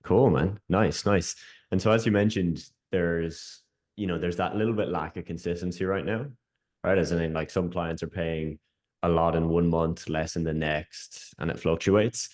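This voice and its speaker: Low-voiced guy hosting a live call, upbeat, keeps saying man. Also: fairly even intonation, darkish tone. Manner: upbeat, quick, says "man" a lot. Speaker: host and coach. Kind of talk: casual interview on a live call. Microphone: video call.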